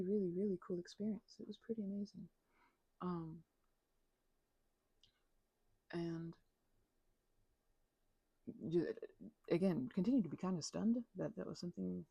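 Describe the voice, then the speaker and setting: Young woman, ASMR rambler: low-pitched, husky, mumbly, hesitant, very dry close-mic sound. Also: dark tone, no room sound, muffled recording. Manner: mumbling, hesitant, drifting storyteller. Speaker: ASMR channel creator. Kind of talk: casual rambling ASMR chat.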